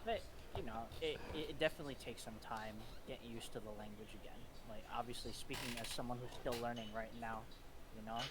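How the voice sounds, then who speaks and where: A man's voice: mid-range voice, fairly quick, heavy background noise. A man co-hosting a podcast, talking thoughtfully and with self-deprecation. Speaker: podcast co-host. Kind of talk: casual chat.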